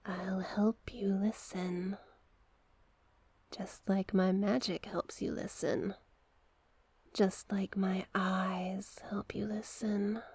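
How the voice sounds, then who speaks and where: A woman voicing a seductive, manipulative sea witch in an ASMR roleplay, coaxing hypnotically in a breathy, husky voice that often drops to a whisper. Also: mid-range voice, little pitch movement, a little mellow, dry recording, exaggerated voice. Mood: hypnotic, coaxing. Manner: slow, hypnotic, coaxing repetition.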